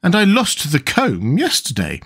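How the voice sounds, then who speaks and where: A man's voice: a little high, wildly swooping pitch, measured pace, fairly bright tone, husky, voice pushed up, full character voice. A man voicing a helpless, fretful, dotty old queen from a storybook, in a plaintive, wavering manner. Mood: melancholy, fretful. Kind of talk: storybook character voice.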